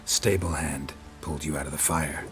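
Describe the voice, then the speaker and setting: Super deep voiced monster hunter, stoic, terse, dry deadpan, game cutscene. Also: male voice, normal speed, soft background music. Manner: deadpan, laconic, clipped. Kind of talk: restrained video game acting.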